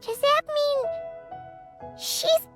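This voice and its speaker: A woman voicing a small, childlike fairy companion in a video game, excitable and puzzled, with a squeaky voice and an exclamatory way of talking. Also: very slow, loud music behind the voice, heavily acted. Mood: startled, curious. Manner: refers to self in third person, exclamatory.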